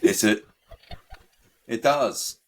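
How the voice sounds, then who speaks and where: A man co-hosts from a studio in a low-set voice. He talks in a relaxed, rambling way, padding his sentences with fillers and letting phrases trail off. The tone is friendly podcast chat. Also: drawn-out words, a little hiss or hum. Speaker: podcast co-host. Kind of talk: casual chat. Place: recording studio.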